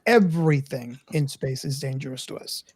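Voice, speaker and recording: A woman hosting a casual discussion podcast, talking to the audience deliberately and repeating phrases for emphasis. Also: a bass voice, a little mellow, breathy edge, no echo. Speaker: podcast host. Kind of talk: casual discussion addressed to listeners.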